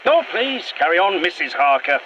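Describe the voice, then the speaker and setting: Audio drama: irritable reluctant companion, wildly animated pitch, sarcastic delivery, slightly noisy field recording. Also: male voice, moderate pace, lo-fi sound, full character voice. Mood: exasperated. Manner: sarcastic, sweary, exasperated. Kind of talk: found-footage audio drama dialogue.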